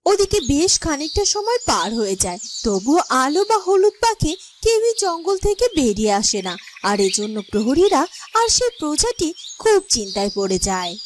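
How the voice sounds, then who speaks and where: A woman's voice: high voice, big pitch swings, normal speed. A woman narrating a scripted fairy-tale cartoon as a storyteller. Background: music.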